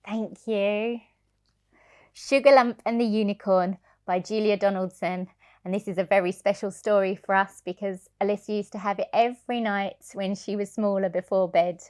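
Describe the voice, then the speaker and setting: A woman reading a children's storybook aloud as a childminder, in an expressive, sing-song way. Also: mid-range voice, moderate pace.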